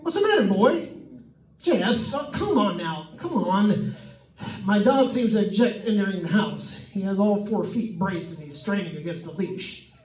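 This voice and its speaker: Echoey distant recording, man playing chatty radio host, high voice, wisecracks, old-time radio drama. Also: expressive, measured pace. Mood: uneasy, narrating. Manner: wisecracking, folksy asides to listeners. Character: jokey, chatty male radio host on a ghost hunt. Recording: echoey room, distant microphone.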